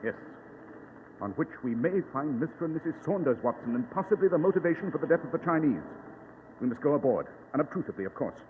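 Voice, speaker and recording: An actor playing a commanding, keen-witted English gentleman detective, precise and clipped, with a British accent, in a 1940s radio drama. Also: a little high, lively intonation, quick pace, phone-quality sound, lightly acted. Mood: wary. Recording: noisy, phone-thin vintage radio recording.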